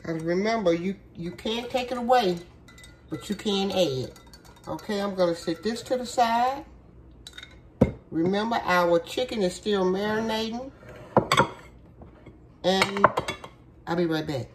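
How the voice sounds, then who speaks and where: A woman's voice: medium pitch, slight background noise. A woman hosting a home cooking video, chatty and folksy, her voice worn from a cold. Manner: chatty, folksy, with many fillers. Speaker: cooking show host. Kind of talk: casual cooking demonstration. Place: home kitchen.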